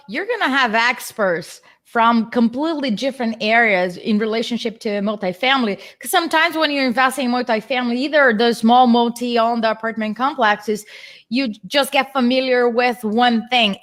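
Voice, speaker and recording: A woman's voice: on the high side, normal speed, fairly bright tone. A woman with a Brazilian Portuguese accent, speaking English as a second language, explaining her work earnestly on a video call interview. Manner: earnest, explanatory, slightly non-native phrasing. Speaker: guest speaker, real estate investor. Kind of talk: casual interview.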